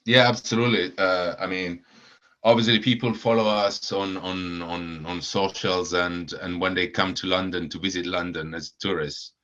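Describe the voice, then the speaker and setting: Low-voiced man with many fillers, lo-fi. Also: steady tone, normal speed, a bit bright. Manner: hesitant, many fillers and repeated words. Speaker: supporters club founder, guest. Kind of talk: casual podcast chat. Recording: dull lo-fi audio.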